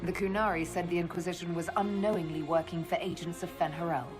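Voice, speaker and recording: An actress playing a steadfast heroic leader in a video game, questioning in a serious, direct way. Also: female voice, fairly low pitch, fairly even intonation, quick pace, over music, lightly acted. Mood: probing, serious. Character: female leader of an inquisition, probing, steadfast hero. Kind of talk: dramatic video game dialogue.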